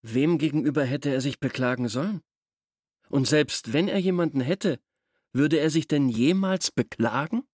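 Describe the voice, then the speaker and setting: A man's voice: on the high side, moderate pace, a little mellow, dry recording, studio quality, lightly acted. A man playing a resentful village schoolteacher in a radio drama, speaking in a formal, officious, disapproving way. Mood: officious, disdainful. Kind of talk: German radio drama acting.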